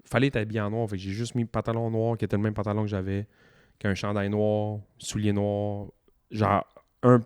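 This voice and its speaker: A man with a Québécois French accent talks in a low voice, close to a dry mic. His delivery is loose and slangy, full of filler tags, quick asides and easy laughter. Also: completely flat delivery, darkish tone, smooth, no room sound. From Quebec. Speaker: podcast co-host. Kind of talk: casual chat.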